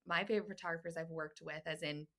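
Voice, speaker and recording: A woman's voice: sits low, steady tone, fast, a bit bright. A young woman hosting a podcast, agreeable and encouraging, often chiming in with short affirmations. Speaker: podcast host. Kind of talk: casual podcast interview.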